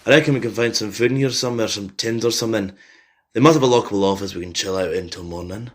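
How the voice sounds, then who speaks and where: A man playing a gruff, loyal zombie apocalypse survivor in an audio drama, talking informally and hesitantly with some swearing. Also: lowish voice, fast talker, close to an everyday voice. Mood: practical.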